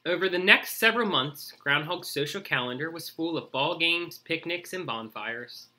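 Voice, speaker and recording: Male storybook narrator: expressive read-aloud, crisp bright tone, animated pitch. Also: moderate pace. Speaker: story reader.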